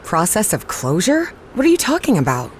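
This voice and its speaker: A woman's voice: on the low side, huge pitch swings, fairly quick, slight background noise. An actress playing a devoted young woman in a story game, speaking with urgency and open emotion. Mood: flustered, confused. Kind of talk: cinematic game voice acting.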